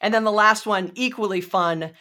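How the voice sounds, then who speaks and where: A woman's voice: mid-range voice, normal speed, sharp tone. A woman hosting her podcast, chatty and enthusiastic, with many fillers as she asks questions. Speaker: podcast host. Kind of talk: podcast interview.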